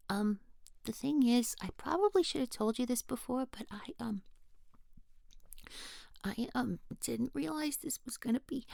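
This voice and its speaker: A woman's voice: on the high side, creaky, a little mellow, no room sound, clean studio sound, heavily acted. A woman voicing a nervous young student in an audio roleplay who rambles and keeps correcting herself. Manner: flustered, rambling, self-correcting.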